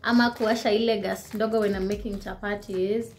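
A woman's voice: mid-range voice, fairly even intonation, a little fast, darkish tone. A young woman in her twenties with a Kenyan accent, chatting casually about her shopping haul as she holds up each item to the camera in her living room. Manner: chatty, code-switching, shows items to camera. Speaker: vlogger.

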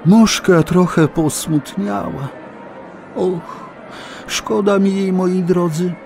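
A man narrating a children's tale in a theatrical, dramatic, expressive way. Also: high-pitched, slow pace, creaky, music in the background. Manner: dramatic, expressive, addresses listeners. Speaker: storyteller narrator. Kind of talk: theatrical scripted children's storytelling.

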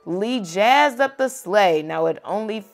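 A young woman reading a picture book aloud to a child at home, gentle and expressive. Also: medium pitch, measured pace, faint music behind. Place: home bedroom, indoors.

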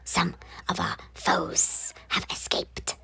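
A man voicing a small, cold-blooded lizardman interpreter with a hissing rasp and terse, solemn speech, in a fantasy audio play. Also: slow pace, sharp tone. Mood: urgent. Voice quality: hissing, creaky whispered rasp. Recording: music behind the voice.

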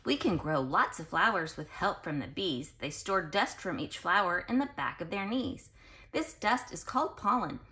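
A woman reading a children's picture book aloud in an expressive, sing-song way, speaking directly to young listeners. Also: on the low side. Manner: pauses to explain and question listeners directly. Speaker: children's read-aloud host.

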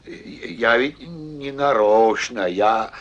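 Very slow, gentle cartoon bear. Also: male voice, mid-range voice, highs cut off. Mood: apologetic. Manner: hesitant, stammering, soothing. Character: big gentle apologetic bumbling male bear. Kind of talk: animated cartoon voice acting.

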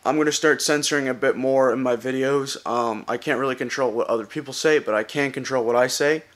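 A man's voice: medium pitch, brisk. A young man vlogging from a gym and his bedroom, talking casually to a handheld camera. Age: young adult. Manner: loud, excitable joking at the gym. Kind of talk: casual vlog chat.

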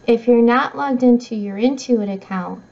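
A middle-aged woman reads scripted tutorial narration in a measured, instructional way. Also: on the high side, vocal fry. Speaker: software trainer. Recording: slightly dull, muffled audio.

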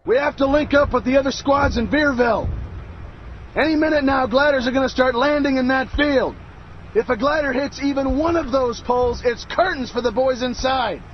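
A man playing a gruff American army sergeant, giving brisk, authoritative orders. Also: very high-pitched, highs cut off, a little hiss or hum. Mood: commanding, urgent. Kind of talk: military orders in game dialogue.

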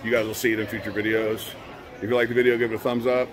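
A man's voice: mid-range voice, little pitch movement, rapid-fire. A middle-aged man giving casual, slangy vlog commentary, recorded on a handheld camera in a large store. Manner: informal slangy exclamations. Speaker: vlogger. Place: large busy retail store. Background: music.

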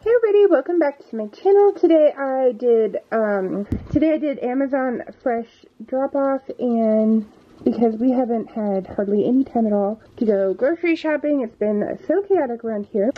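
An American woman chatting casually to viewers as a home vlogger, in a slightly muffled indoor recording. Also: fairly high pitch. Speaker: vlogger showing a grocery haul.

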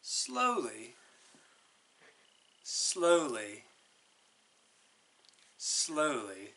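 Very slow, animated male storyteller. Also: medium pitch, a little hiss or hum. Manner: deliberate, drawn-out, expressive delivery. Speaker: storytime guest reader. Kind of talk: animated children's picture book read-aloud. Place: living room by a brick fireplace.

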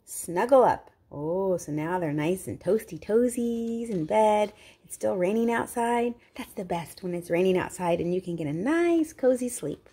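Woman, children's read-aloud host, very animated intonation, unhurried, expressive, simple asides to young listeners. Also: mid-range voice, breathy edge.

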